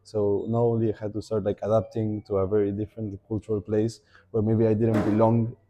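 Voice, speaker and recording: Young argentine guy, low voice, really dark tone, talks it through slowly with lots of uhs. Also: flat delivery, lo-fi sound. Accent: Argentine Spanish. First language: Spanish. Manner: reflective, thinking aloud with many fillers and restarts. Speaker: guest. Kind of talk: casual podcast conversation.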